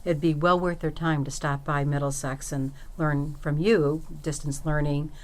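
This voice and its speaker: A woman's voice: low voice, little pitch movement, moderate pace. A woman hosting a college radio interview show, encouraging her guest with short affirmations.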